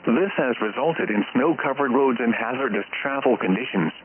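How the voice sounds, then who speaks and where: A synthetic man's voice reading an automated weather warning bulletin as a radio announcer, formal and measured. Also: medium pitch. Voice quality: synthetic text-to-speech voice. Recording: thin, phone-like radio with faint noise.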